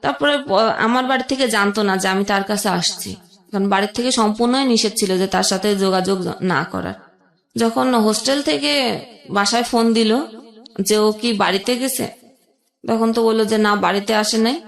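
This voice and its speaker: A young woman telling an emotional personal story as a guest, halting, with pauses and restarts. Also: mid-range voice, echoey room.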